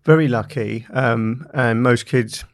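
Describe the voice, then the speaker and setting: A man's voice: medium pitch, slow, no echo, faint music behind. A man hosting an interview, asking thoughtful questions and often repeating his words as he searches for them. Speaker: interview host. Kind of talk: conversational interview.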